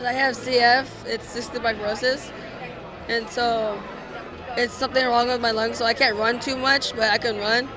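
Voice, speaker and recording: A speaker talking plainly at a busy outdoor event. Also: male voice, very high-pitched, fairly even intonation, moderate pace. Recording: outdoors with crowd and music behind.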